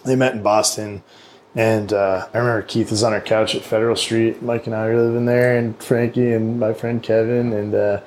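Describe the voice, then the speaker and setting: A man's voice: on the low side, flat delivery, moderate pace, a little mellow. Slangy, rambling man in noisy casual chat. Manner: rambling, slangy, profane, laughs often. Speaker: interviewer, podcast host.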